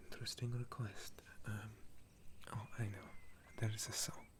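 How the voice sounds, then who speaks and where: A man playing a weary, tender legendary elf war hero in an intimate ASMR roleplay, speaking in a soft, breathy half-whisper. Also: lowish voice, level tone, lightly acted. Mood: gentle, sleepy. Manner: slow, gentle, wistful storytelling.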